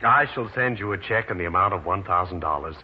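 Dignified businessman, really low voice, very proper, hissy old radio drama. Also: expressive, fast talker. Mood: relieved, formal. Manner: formal, stately. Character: formal, grateful, dignified wealthy businessman. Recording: muffled old radio recording with hiss.

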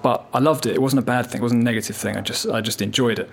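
A man in his thirties speaks in an ordinary mid-range voice as a guest in a relaxed interview. He tells long anecdotes, circles back to his point as he goes, and pokes gentle fun at himself. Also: medium pitch, rapid-fire, creaky, breathy edge. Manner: chatty, anecdotal, self-deprecating. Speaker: viola player. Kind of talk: casual interview chat.

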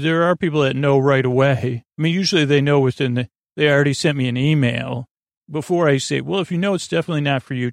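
A man hosting a bedtime podcast, rambling in a halting, meandering way and often trailing off mid-thought. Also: mid-range voice, no room sound, studio quality. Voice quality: creaky, gravelly. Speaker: podcast host.

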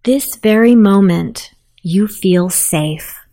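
A woman narrating a guided sleep meditation in a soothing, softly spoken, unhurried manner. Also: mid-range voice.

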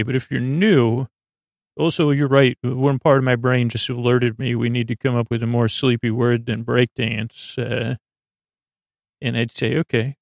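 A man hosting a bedtime podcast in a meandering, halting monologue that trails off into tangents. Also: mid-range voice, creaky, no room sound. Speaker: podcast host.

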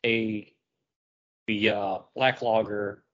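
A man's voice: fairly low pitch, little pitch movement, drawn-out words, fairly bright tone. Casual male podcast host, dull audio. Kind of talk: casual chat.

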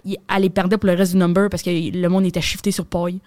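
A woman's voice: fairly low pitch, no echo, studio quality. A young woman comedian with a Québécois accent, telling stories in a casual, slangy podcast chat. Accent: Québécois French. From Quebec. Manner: rapid, slangy, animated storytelling. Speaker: comedian guest.

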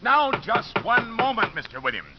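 An actor playing a dryly authoritative, exasperated judge in a 1950s radio drama, formal and wry. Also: male voice, extremely high pitch, big pitch swings, moderate pace, loud music behind the voice. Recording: dull old radio recording, clear hiss.

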